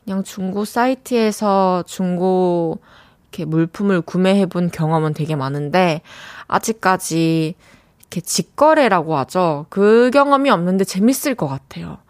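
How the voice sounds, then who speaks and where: A woman's voice: on the low side, normal speed, dry recording. A young woman hosting a radio show, reading listener messages and chatting about them. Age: young adult. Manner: chatty, warm reactions to listener texts. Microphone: studio mic.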